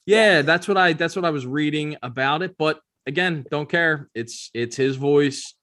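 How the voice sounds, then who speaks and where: A man's voice: fairly high pitch, moderate pace, pushed and bright. A man hosting a casual pop culture news chat, talking informally like a fan. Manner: informal, conversational fan talk. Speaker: podcast host.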